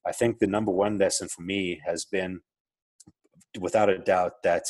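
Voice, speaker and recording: A man's voice: sits low, fairly even intonation, moderate pace, a little mellow, no echo. A middle-aged man with a South African accent, a podcast guest, talking reflectively with many restarts and fillers. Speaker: guest, entrepreneur. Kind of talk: casual interview conversation.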